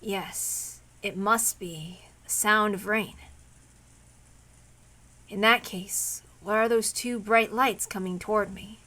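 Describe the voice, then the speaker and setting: A woman's voice: medium pitch, fairly even intonation, slow pace, bright, forceful tone. A woman streaming a visual novel read-aloud, moving between narration and informal, rambling asides where she interrupts herself. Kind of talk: casual chat and story read-aloud.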